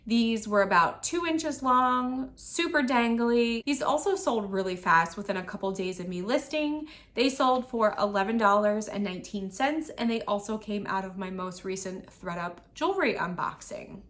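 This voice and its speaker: A woman in her thirties with a slightly high voice that has turned raspy while she recovers from an illness. She walks through her sales one item at a time, matter-of-fact and orderly. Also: fairly bright tone. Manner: itemized, matter-of-fact listing. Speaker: online reseller. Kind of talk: sales recap vlog.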